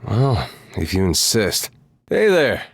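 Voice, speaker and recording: Easygoing farmer adventurer, animated pitch, folksy, dry humor, fantasy game companion, close dry sound. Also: male voice, slow, no echo, heavily acted. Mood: relaxed, amused. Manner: folksy, drily humorous, informal. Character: easygoing wry adventuring farmer and loyal fighter, adult man. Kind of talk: video game voice lines.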